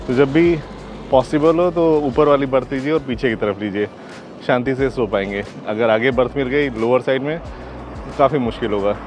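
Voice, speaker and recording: A man in his forties talking to the camera on a travel vlog, chatty and giving tips, recorded outdoors on a lapel mic. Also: mid-range voice. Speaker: travel vlogger. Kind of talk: casual travel vlog narration. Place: outdoors on city streets and a rooftop. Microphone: clip-on lapel mic.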